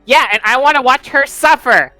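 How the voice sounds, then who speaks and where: A man's voice: extremely high pitch, moderate pace, bright, forceful tone, faint music behind. A man playing a tabletop game, role-playing casually in an insistent, indignant and sweary way. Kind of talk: casual, improvised role-play chat.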